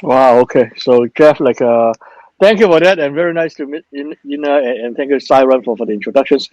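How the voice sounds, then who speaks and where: Halting, joking male panelist. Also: mid-range voice, a little mellow, dry recording, highs cut off. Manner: halting, many restarts and fillers, joking. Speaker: panelist, company managing director. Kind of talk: casual panel talk.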